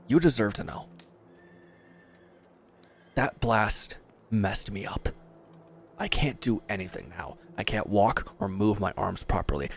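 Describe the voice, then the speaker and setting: A defeated villain played by a male actor in an audio roleplay, wounded and proud. His low voice crackles with gravel as he speaks with resentful, sardonic bite. There is some background noise on the recording. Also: exaggerated voice. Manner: bitter, sardonic, confrontational. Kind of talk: dramatic audio roleplay monologue.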